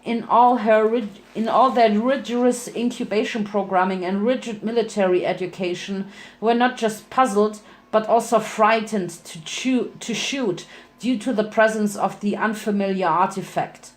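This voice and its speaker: A woman's voice: mid-range voice, moderate pace. Woman giving a dramatic story reading. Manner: stumbles over words and rereads phrases. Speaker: fan fiction reader.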